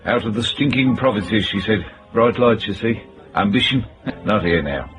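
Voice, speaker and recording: Man playing a low-voiced, menacing club boss. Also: normal speed, fairly bright tone, faint music behind, natural voice, low-quality recording. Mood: sly, mocking. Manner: clipped, condescending, veiled threats. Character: menacing male club boss, smooth and dismissive. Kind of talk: radio drama acting.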